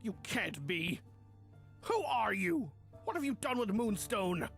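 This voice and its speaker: A man's voice: high voice, very animated, takes it slowly, creaky, fairly bright tone, faint music behind, heavily acted. A man voicing a proud, lovelorn chimera beast held prisoner in a cartoon, speaking in a wounded, earnest, dramatic way. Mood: outraged. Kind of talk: animated fan drama acting.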